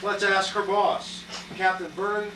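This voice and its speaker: Female play narrator, scripted scene announcements, echoey room with clear background noise. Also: medium pitch, flat delivery, measured pace, distant mic.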